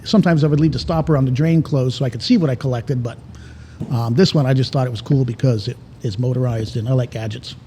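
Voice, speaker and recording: A man's voice: medium pitch, quick pace, mellow. A man chatting casually as a podcast co-host, telling stories in a joking, profane way. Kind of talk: casual bantering chat. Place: indoor cigar lounge. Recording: reverberant room, background noise.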